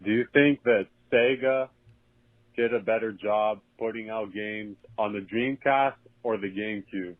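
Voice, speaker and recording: A man's voice: lowish voice, unhurried, no echo. A man leaving a casual, chatty voicemail as a caller, recorded over a narrow, tinny phone line. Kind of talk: casual chat.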